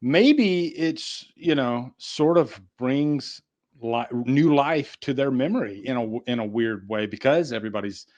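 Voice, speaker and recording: A man's voice: medium pitch, animated, a little slow, darkish tone. A man hosting a podcast, chatting casually and conversationally with his co-hosts. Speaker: podcast host. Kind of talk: casual chat.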